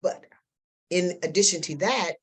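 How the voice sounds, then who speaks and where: A woman hosting an interview, encouraging and affirming her guest with lively exclamations. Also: on the low side, unhurried, slightly rough. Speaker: host. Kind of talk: casual interview.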